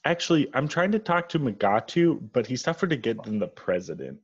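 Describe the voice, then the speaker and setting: A man's voice: mid-range voice, very animated, a little fast, husky. Man reading a persistent reporter, slightly muffled. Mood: apologetic, coaxing. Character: persistent, polite magazine reporter, a woman. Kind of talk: comedy table read. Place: indoors at home on a video call. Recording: slightly dull video call audio.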